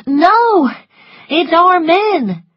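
Woman acting a shrill, panicky young maid. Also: lively intonation, extremely slow, dry recording, lo-fi sound, heavily acted. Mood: panicked. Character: frightened, excitable young maid reporting disaster.

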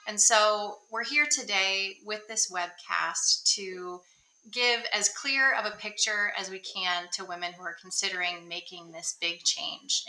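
A young woman introduces a webcast in a measured, informative way. Her voice is very bright and sharp, and it rings with echo off the walls of a small room picked up by a webcam. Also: mid-range voice. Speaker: co-host. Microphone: webcam on video call.

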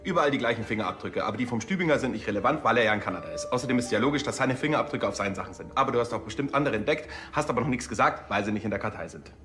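Quick-talking, awkward eager policeman, played by a man. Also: mid-range voice, expressive, a bit bright, close to an everyday voice. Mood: keen. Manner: hesitant, over-explaining. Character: eager, awkward, bumbling young male policeman. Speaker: TV actor. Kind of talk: crime comedy dialogue.

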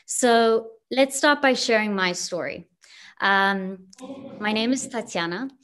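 A woman's voice: mid-range voice, slow, a bit bright, clear, resonant tone. A woman from Zimbabwe giving a webinar talk, telling her own story with earnest rhetorical questions over a video call. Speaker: guest speaker, entrepreneur. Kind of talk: webinar presentation.

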